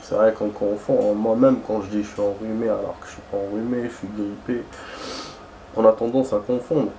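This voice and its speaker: A man's voice: lowish voice, fairly even intonation, moderate pace, mellow, a little hiss or hum. Young man rambling over his gameplay. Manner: rambling, hesitant, many fillers and restarts. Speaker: gaming streamer. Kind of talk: casual chat while playing.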